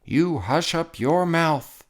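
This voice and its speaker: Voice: mid-range voice, expressive, slow pace, pitched above the performer's own voice. A storyteller voicing a boastful, tyrannical turtle king with a pompous, bellowing, commanding manner for a children's picture book. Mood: indignant.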